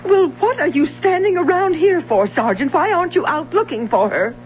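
Anxious, high-pitched, defensive mother. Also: animated. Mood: indignant, insistent. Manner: insistent, emotional, quick to contradict. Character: anxious, defensive middle-aged mother of a missing daughter. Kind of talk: 1970s radio drama acting. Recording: dull, noisy vintage radio broadcast.

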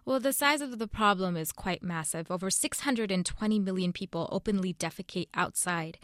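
A young woman reporter answers questions in an earnest, explanatory way. Her voice sits at an ordinary middle pitch. The recording is dry and close, with no room around it. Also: no echo. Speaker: correspondent. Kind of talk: news interview.

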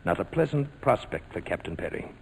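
An actor playing a smooth, menacing crime boss in 1940s radio drama style, mock-polite and coolly amused. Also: male voice, deep voice, sing-song, exaggerated voice. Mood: amused threat. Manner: suave, mock-polite, unhurried threats. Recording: noisy vintage 1940s radio recording.

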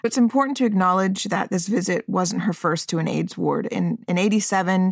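A woman's voice: fairly low pitch, vocal fry. A woman on a podcast who speaks in an earnest, articulate and emphatic way while explaining historical background. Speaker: podcast guest.

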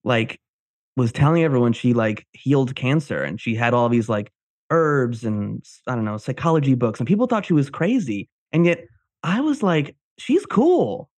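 A man's voice: medium pitch, lively intonation, normal speed, darkish tone, no room sound. A man from New Jersey hosting a casual interview, informal and curious, rambling into his questions. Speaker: podcast host.

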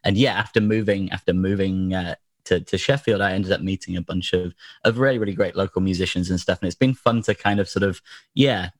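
A slightly hoarse man chatting as a podcast guest, rambling with many fillers and restarts. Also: deep voice, steady tone, a little fast, dry recording. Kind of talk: casual chat.

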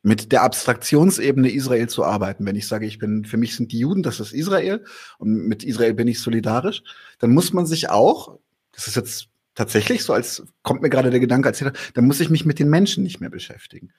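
A man's voice: medium pitch, expressive, fairly quick, a little mellow, husky. A man hosting an interview, thinking aloud in a hesitant, reflective way with many fillers. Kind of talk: conversational interview.

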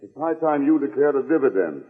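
Wry secret agent, muffled old radio. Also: male voice, medium pitch, big pitch swings, breathy edge. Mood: exasperated. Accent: American. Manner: brisk, wisecracking, hard-boiled. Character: quick-witted, wry American secret agent hero. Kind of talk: 1940s radio adventure acting.

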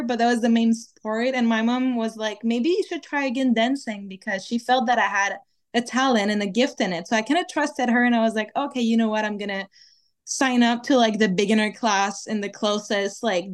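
A young woman from Quebec with a French accent, chatting casually and fillering her sentences with "like" and "kinda". Her voice sits a little high. She answers interview questions in an easy, talkative way. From Quebec, Canada. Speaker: guest, dancer.

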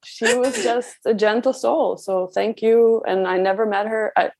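A woman's voice: fairly high pitch, fairly even intonation, measured pace, darkish tone, a little hiss or hum. A woman from the Czech Republic speaking as an interview guest, telling personal stories in a conversational way. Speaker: parenting coach, interview guest.

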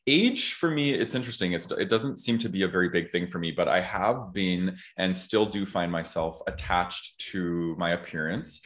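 A man in his thirties hosting a podcast, talking in a reflective, conversational way with an earnest, measured manner. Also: sits low, a bit bright. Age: 30s. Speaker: podcast host.

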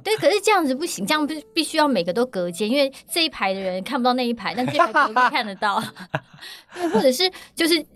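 Somewhat high Taiwanese Mandarin woman host. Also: big pitch swings, fast talker, fairly bright tone. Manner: friendly, gives frequent short agreements and laughs easily. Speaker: podcast host. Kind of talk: casual podcast interview.

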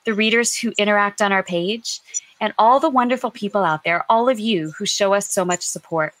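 A woman co-hosting an online book show in a lively, chatty, effusive way. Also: mid-range voice, brisk, no echo, low-quality recording. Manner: effusive, gushing.

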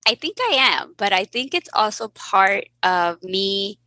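A woman who grew up in Mexico with Spanish as her first language, telling lively stories as a guest physician in a casual interview. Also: medium pitch, a little slow, no room sound. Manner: animated, laughing storyteller.

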